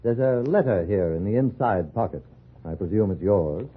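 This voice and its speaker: An actor playing a methodical, skeptical official in a 1950s radio drama, questioning deliberately and formally. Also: male voice, on the low side, expressive, breathy edge. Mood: skeptical, probing. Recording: muffled vintage radio recording.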